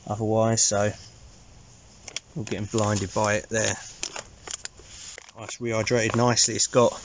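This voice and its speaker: A man in his thirties with an English accent, chatting casually to camera in a low-key, rambling, informal way as an outdoor vlogger. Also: highs cut off, slight background noise. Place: inside a small tarp shelter outdoors at night.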